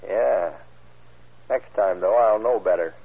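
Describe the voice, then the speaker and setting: An actor playing a tough, sly bandit with curt, slangy talk in a 1940s style radio crime drama. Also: male voice, fairly low pitch, slow, gravelly, breathy edge, heavily acted. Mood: unrepentant, offhand. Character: tough, sly, defiant male hold-up bandit. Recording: tinny, muffled old radio recording.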